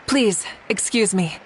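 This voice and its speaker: A woman playing a composed, dignified leader in an anime game, speaking in a measured, formal way. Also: mid-range voice, a bit bright, slight background noise. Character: composed, dignified adult female leader presiding over tournament.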